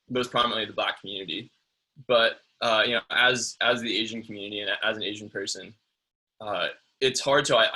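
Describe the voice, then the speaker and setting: A young man speaking as a panelist in a town hall discussion, thoughtful and pausing often on "um" and "uh.". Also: fairly low pitch, pushed and bright, husky. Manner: thoughtful, with frequent "um" and "uh".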